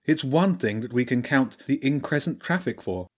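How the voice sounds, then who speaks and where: A man voicing a wise female badger priestess in an audiobook, speaking in a measured, knowing way with solemn warnings. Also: medium pitch, lively intonation, natural voice. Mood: explaining. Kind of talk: audiobook character voice.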